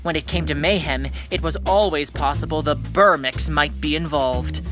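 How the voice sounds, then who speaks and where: A woman's voice: sits low, normal speed, over music, natural voice. A woman playing an earnest, self-important sixth-grade boy detective in a scripted audio drama, with pompous, formal diction.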